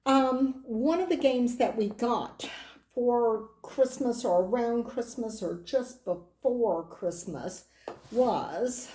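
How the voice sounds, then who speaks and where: A hoarse middle-aged woman hosting a board game channel, chatting casually in a rambling way with lots of side remarks. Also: medium pitch, mellow, slight background noise. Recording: roomy echo.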